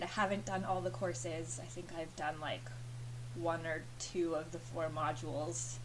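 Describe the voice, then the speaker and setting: A woman's voice: fairly low pitch, a little hiss or hum, poor audio. A woman chatting casually to camera as a vlogger, with lots of fillers and little laughs.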